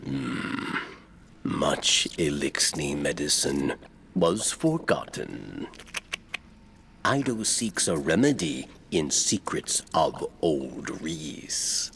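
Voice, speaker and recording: A man voicing a sly, patient alien scribe in a video game, with a gravelly growl and clipped, broken phrasing. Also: very deep voice, sing-song, extremely slow, a bit bright, a little hiss or hum, full character voice. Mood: knowing, reassuring. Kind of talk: dramatic video game voice acting.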